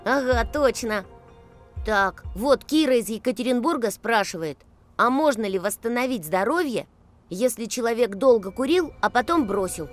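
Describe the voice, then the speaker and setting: A woman's voice: on the high side, animated, moderate pace, dry recording, faint music behind, lightly acted. A woman voicing a cheerful young girl who reads out viewers' letters in a children's cartoon. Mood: eager.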